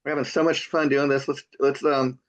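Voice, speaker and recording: A man's voice: medium pitch, flat delivery, brisk. An older man hosting an interview, stammering and repeating words with frequent enthusiastic wows. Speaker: TV interview host. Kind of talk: casual interview talk. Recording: dull lo-fi sound.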